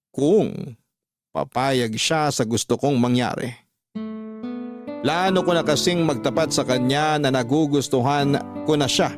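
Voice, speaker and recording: A man narrating a radio drama in the first person as an unrepentant womanizer, in measured, confessional storytelling. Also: mid-range voice, dry recording, clean studio sound, natural voice. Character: unrepentant womanizer confessing his affairs in a letter.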